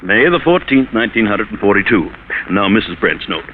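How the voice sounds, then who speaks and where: An actor playing a shrewd, methodical district attorney in a 1940s radio drama, probing and deliberate. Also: male voice, on the low side, sing-song. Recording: tinny, hissy 1940s radio recording.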